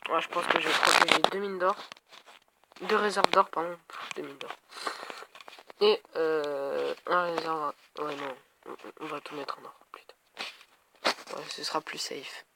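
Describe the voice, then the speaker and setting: A man's voice: fairly high pitch, steady tone, a little slow, vocal fry, long pauses, heavy background noise. A man with a head cold giving casual gameplay commentary, thinking aloud and hesitating as he talks to his viewers. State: stuffed up with a cold. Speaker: gaming video creator.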